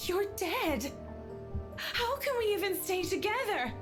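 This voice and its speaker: A woman's voice: extremely high pitch, big pitch swings, unhurried, full character voice. An actress playing an earnest, emotional young woman with a pleading manner in a visual novel game. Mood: distraught, pleading. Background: game music.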